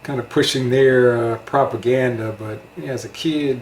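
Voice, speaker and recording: A man's voice: mid-range voice, fairly even intonation, moderate pace. A middle-aged man with an American accent, casually reminiscing as he is interviewed. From United States. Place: indoor room at a home. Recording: roomy echo, faint background noise.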